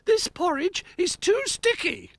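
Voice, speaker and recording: A man voicing a bouncy, mischievous rabbit in a children's story, in a squeaky, theatrical falsetto. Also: big pitch swings, unhurried, vocal fry, over music, exaggerated voice. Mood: shrill, dramatic. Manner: exclaiming, theatrical. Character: bouncy mischievous toy rabbit, playing Goldilocks.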